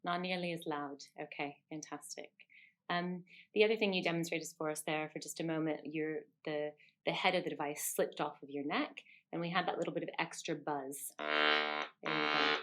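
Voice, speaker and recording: A woman with a low voice explains a technique step by step in the manner of a speech therapist. She is encouraging and affirming as she instructs. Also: moderate pace, fairly bright tone, a little hiss or hum.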